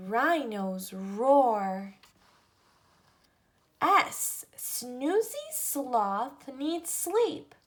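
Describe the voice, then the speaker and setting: A woman reading a picture book aloud to children and teaching the alphabet, with deliberate pauses between phrases. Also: on the high side, fairly bright tone. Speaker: read-aloud storyteller for children.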